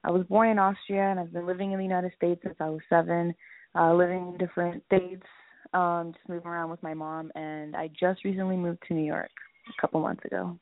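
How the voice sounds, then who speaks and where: A young woman from the Dominican Republic and Czechoslovakia, a guest on a radio show, answering in a measured, slightly hesitant way. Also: on the low side, little pitch movement, no echo. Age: young adult. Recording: dry tinny phone line.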